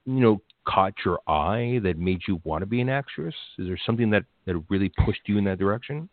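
A man's voice: fairly low pitch, animated, fairly quick, dry recording. A man interviewing a guest in a casual, rambling way, restarting his questions often. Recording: tinny phone-like line.

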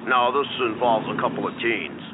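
A man voicing a brisk, deadpan male rescue worker in a comedic audio drama. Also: medium pitch, lightly acted. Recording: phone-like lo-fi with noise.

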